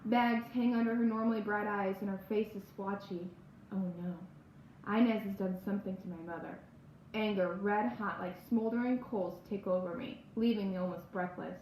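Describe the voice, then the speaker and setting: A woman reads a spooky first-person children's novel aloud in a dramatic, expressive storytelling manner. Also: mid-range voice, normal speed. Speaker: audiobook-style reader. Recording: roomy echo, faint background noise.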